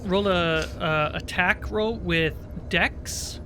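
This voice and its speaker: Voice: medium pitch, slow pace, noisy background. A game master narrating and refereeing a livestreamed tabletop game in an informal, suspenseful storytelling way. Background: music.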